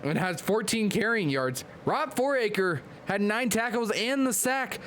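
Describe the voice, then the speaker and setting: A man hosting a live stream and excitedly calling play-by-play sports commentary while losing his voice from a clogged nose. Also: high voice, slight background noise. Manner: excitable, hyped play-by-play with quick asides to chat. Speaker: league commentator and stream host.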